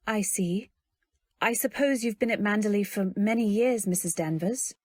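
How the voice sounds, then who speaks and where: A woman's voice: mid-range voice, normal speed, no echo, close to an everyday voice. Radio drama heroine, played by a woman: timid young wife, earnest and well-mannered, intimate dry recording. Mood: tentative. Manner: hesitant, gentle, polite. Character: timid, earnest young new wife narrating her tale. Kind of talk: old-time radio drama, first-person narration and dialogue.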